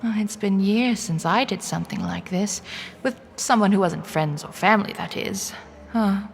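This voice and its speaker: A woman playing a sardonic, wary young woman short of money in an audio drama, with wry, expressive inner monologue. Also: mid-range voice, moderate pace, vocal fry, in a large hall, natural voice. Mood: softening, curious. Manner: wry, expressive, with sighing interjections. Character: young cash-strapped woman, sardonic, wary, inwardly flustered. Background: music.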